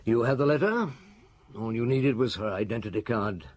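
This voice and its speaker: An actor in a radio crime drama playing a middle-aged, world-weary but compassionate police chief, husky and measured, speaking deliberately. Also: male voice, medium pitch, expressive. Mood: grave, probing.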